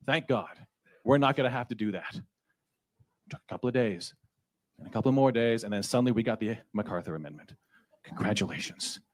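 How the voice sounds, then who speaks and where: A man speaking as a constituent at a town hall, angry, sarcastic and confrontational, into a handheld microphone. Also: on the low side. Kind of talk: public comment speech. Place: public meeting hall.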